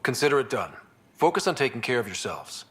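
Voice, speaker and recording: A man playing a steady, determined young human explorer leader with dry humor in video game cutscene dialogue. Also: mid-range voice, brisk, breathy edge, exaggerated voice. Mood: steady, reassuring.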